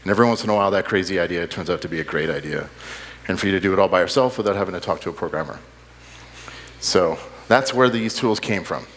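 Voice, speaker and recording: A man's voice: deep voice, fast talker, a bit bright, a little hiss or hum. A middle-aged man giving an informal conference talk in a plain-spoken, anecdotal way. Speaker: head of cinematics, presenter. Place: large indoor conference hall. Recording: echoey hall with long reverb.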